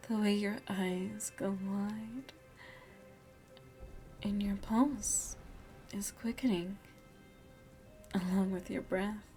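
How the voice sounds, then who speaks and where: A woman playing a seductive, motherly vampire in an ASMR roleplay, speaking in a hushed, breathy, coaxing way with quiet menace, close to the mic. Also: mid-range voice. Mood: teasing, coaxing. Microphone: close microphone.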